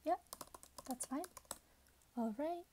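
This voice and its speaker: A woman in her twenties speaking in a hushed, breathy whisper, gently role-playing a customer service clerk for ASMR. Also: sits high, slow pace. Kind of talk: soft-spoken ASMR role-play. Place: small plain indoor room.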